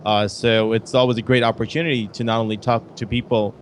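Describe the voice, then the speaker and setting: A man's voice: fairly low pitch, level tone, brisk, slight background noise. A man from Bangladesh, a guest on a casual podcast interview, talking in a friendly, fan-like way.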